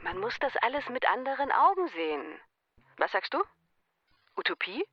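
A woman's voice: a little high, very animated, normal speed. An actress in a radio play as a gushing woman selling a grand idea with coaxing rhetorical questions. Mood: enthusiastic. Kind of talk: German radio play dialogue. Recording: dull lo-fi sound, faint music.

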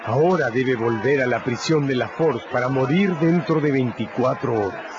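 A man's voice: medium pitch, moderate pace, noisy background. A man narrating a scripted radio drama as a dramatic storyteller. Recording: dull radio recording with music.

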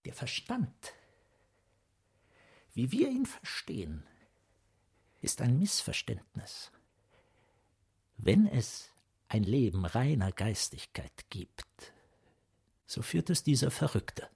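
An actress playing a solemn, theatrical lecturer in a literary radio play, declaiming deliberately. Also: female voice, a bass voice, very animated, creaky, a little mellow, breathy edge, full character voice. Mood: awed, hushed. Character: solemn, theatrical female lecturer revering madness.

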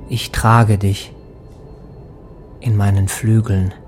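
An actor playing a melancholic lost soul in a poetic radio play, dreamy and lyrical in delivery. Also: male voice, fairly low pitch, level tone, takes it slowly, a little mellow. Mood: dreamy, weightless. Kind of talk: poetic audio drama monologue. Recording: music behind the voice.

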